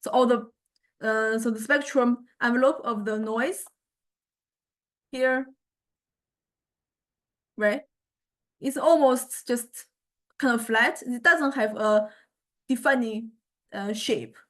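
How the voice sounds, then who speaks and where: High-voiced woman lecturing, hesitant and conversational. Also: slow pace, pauses often. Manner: hesitant, searching for words, conversational explaining. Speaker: university music technology lecturer. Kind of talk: informal lecture with software demonstration.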